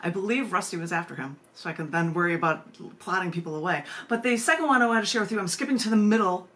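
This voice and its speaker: A woman's voice: lowish voice, fast, fairly bright tone. A woman who hosts a virtual poetry open mic, speaking informally as she shares and reads her poems. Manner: informal, giggly, digressive asides in chat. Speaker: poet, open mic host. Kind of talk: chatty hosting of a virtual poetry reading, with poems read aloud. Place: virtual meeting, live video stream.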